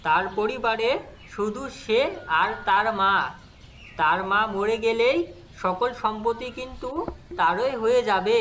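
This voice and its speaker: A man's voice: high-pitched, a little slow, a bit bright, slight background noise. A man voicing a greedy, two-faced young husband in a cartoon fairy tale, persuasive and explanatory. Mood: sly, boastful. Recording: music under the voice. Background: background music.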